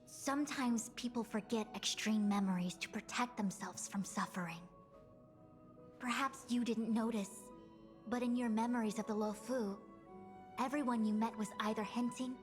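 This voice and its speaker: A woman's voice: a little high, fairly even intonation, normal speed, in a large hall, soft background music, close to an everyday voice. A woman voicing a composed, erudite diviner in a video game, speaking formally and analytically. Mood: probing.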